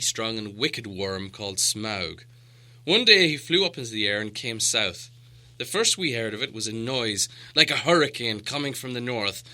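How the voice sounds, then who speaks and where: A man's voice: on the low side, bright, forceful tone. A man voicing a proud, solemn old dwarf leader in an audiobook, with a grave, grandiose storytelling manner. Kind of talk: audiobook character dialogue.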